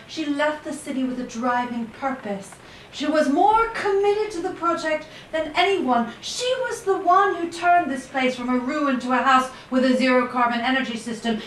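A woman's voice: high-pitched, a bit bright. An actress playing a sensitive, principled woman in an audio play, speaking with earnest emotion. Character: sensitive, principled woman protective of her elders. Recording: echoey, distant, faint noise.

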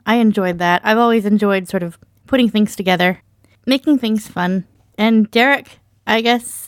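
A woman's voice: mid-range voice, dry recording, clean studio sound. A woman co-content director chatting easily about her podcast work, laughing often. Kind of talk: casual podcast chat.

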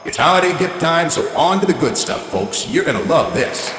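A man's voice: on the high side, big pitch swings, moderate pace, a bit bright, reverberant, big-room sound, some chatter behind, low-quality recording. An actor playing a greedy, foul-mouthed male corporate CEO giving a bombastic holiday speech in an audio drama. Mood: salesy. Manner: bombastic, profane, dismissive. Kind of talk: audio drama comedy monologue.

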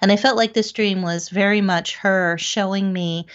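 An American woman recounting personal experiences conversationally as an interview guest, descriptive and pausing between phrases. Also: medium pitch, steady tone, muffled recording. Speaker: podcast guest, paranormal investigator.

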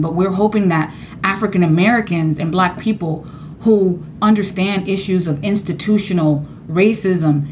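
Earnest Cuban-born woman, slightly low voice. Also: slight background noise. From Cuba. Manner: earnest, animated, rising high when emphatic. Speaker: professor and author, guest. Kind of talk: radio interview.

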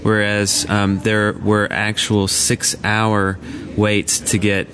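A man on a live podcast with a fairly low voice. He explains things step by step, pausing often with uh and um. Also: monotone, fairly bright tone. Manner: explaining with fillers. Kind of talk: casual live podcast chat.

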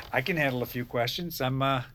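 An actor playing a frail, sleepy President in an audio drama, halting and vague as he mumbles. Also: medium pitch, a bit bright, a little hiss or hum, natural voice. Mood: reassuring, halting.

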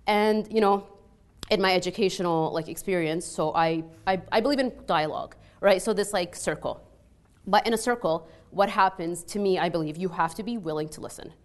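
A woman's voice: lowish voice, a little fast. An Arabic-speaking woman from Egypt with a Canadian-influenced accent, speaking personally and earnestly on a panel, peppering her talk with "like" and checking in with "right?". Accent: Canadian-influenced with an Egyptian background. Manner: conversational and earnest, frequent 'like' and tag questions. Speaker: panel speaker, peace scholar. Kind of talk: personal testimony at a panel discussion.

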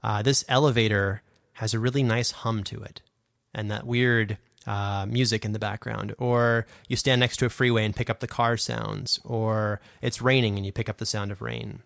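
A man co-hosting a podcast, explaining casually and a bit haltingly, as if thinking aloud. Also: lowish voice, level tone, gravelly, a bit bright, dry recording, studio quality. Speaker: podcast host and sound designer.

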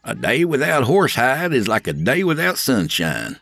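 A man's voice: mid-range voice, huge pitch swings, normal speed, gravelly, fairly bright tone, breathy edge, dry recording, pitched above the performer's own voice, full character voice. Sam, a man voicing an uneasy, wary man.

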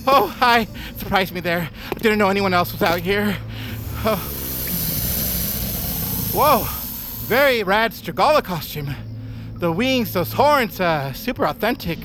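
An actor playing a goofy, chatty, easily spooked salesman in a comedic audio drama, rambling and excitable. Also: high-pitched, unhurried, background noise. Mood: friendly chatter. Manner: rambling, excitable, talks to himself.

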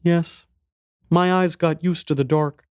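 A man voicing a small, lonely little boy in an audiobook, with soft, light, simple and plaintive speech. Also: on the high side, fairly even intonation, slow pace, clear, resonant tone, no room sound, voice pushed up. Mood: subdued. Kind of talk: audiobook character voice.

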